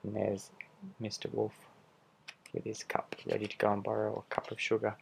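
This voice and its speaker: A man reading a picture book aloud, with quiet, casual asides that point out the pictures. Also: fairly low pitch, monotone, moderate pace, gravelly, a little hiss or hum, low-quality recording.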